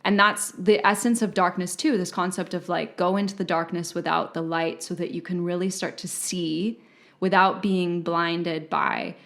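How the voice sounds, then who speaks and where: Reflective woman with a lowish voice. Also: steady tone, moderate pace, creaky, fairly bright tone. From Malaysia. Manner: reflective, frequent "like" and "right?" tags. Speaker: podcast guest, psychic intuitive. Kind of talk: casual conversational interview.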